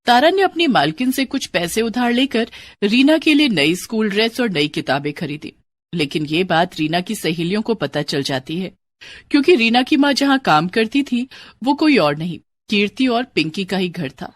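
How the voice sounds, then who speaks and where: कहानी सुनाती महिला, सूखी रिकॉर्डिंग. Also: female voice, mid-range voice, big pitch swings, moderate pace, dry recording. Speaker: story narrator. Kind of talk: scripted narration of a children's cartoon story.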